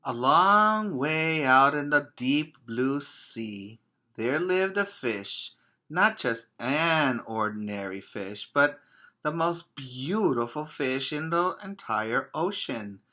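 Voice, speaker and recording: Man, preschool teacher, very slow pace, bright clear-cut tone, expressive storybook reading, simple phrasing for small children. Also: mid-range voice. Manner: addresses young children directly, simple deliberate phrasing. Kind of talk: slow, expressive children's picture-book read-aloud.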